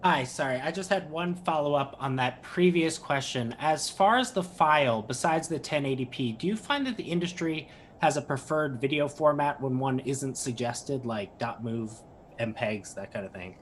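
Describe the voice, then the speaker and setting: A woman's voice: extremely low pitch, moderate pace, slight background noise. A woman attending a workshop, asking a follow-up question in a casual way.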